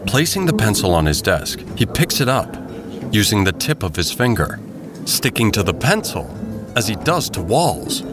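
A man narrating a scripted audio drama as a measured, descriptive storyteller. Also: fairly low pitch, big pitch swings, music in the background. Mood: storytelling.

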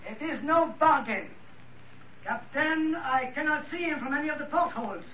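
Very high-pitched, insistent Frenchman. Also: moderate pace. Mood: menacing. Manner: formal, calling out insistently. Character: determined Frenchman calling out threats. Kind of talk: 1940s radio adventure acting. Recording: muffled vintage radio, distant calls.

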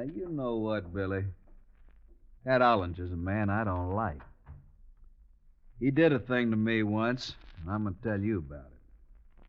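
A man's voice: low-pitched, close to an everyday voice. A man acting a resentful, talkative American jail guard in an old-time radio western. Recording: muffled old radio recording.

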